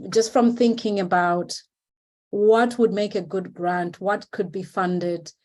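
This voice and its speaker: A woman's voice: mid-range voice, fairly even intonation, takes it slowly, a little mellow. Hesitant, encouraging woman on a video call. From Zimbabwe. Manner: hesitant, many fillers and restarts, encouraging. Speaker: researcher speaking at seminar. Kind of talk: informal remarks at an online seminar.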